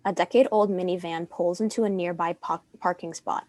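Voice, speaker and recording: A woman's voice: lowish voice, fairly even intonation, a little fast, darkish tone. A woman reading stage directions as narrator of a scripted drama.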